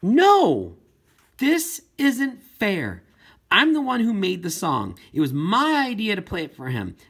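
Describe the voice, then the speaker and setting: An earnest young girl, acted by a man in an audiobook, pleading and protesting with stubborn force. The voice is raised high and swings up and down, with a husky edge. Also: wildly swooping pitch, takes it slowly, fairly bright tone, heavily acted. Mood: frustrated, insistent. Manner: emphatic, impassioned protesting.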